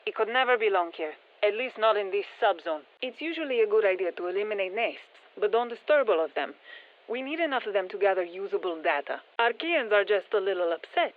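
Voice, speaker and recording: Video game mission scientist, composed, matter-of-fact, wry, medium pitch, tinny radio filter. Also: female voice, expressive, brisk. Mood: calm, instructive. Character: composed female scientist-engineer guiding soldiers against alien parasites. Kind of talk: scripted game mission briefing.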